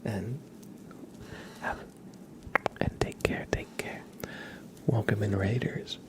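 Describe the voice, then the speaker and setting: A man hosting an ASMR livestream in a breathy whisper, speaking in a gentle, unhurried, soothing way. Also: steady tone. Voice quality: breathy, whispered, low creaky voice. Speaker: ASMR live streamer, host.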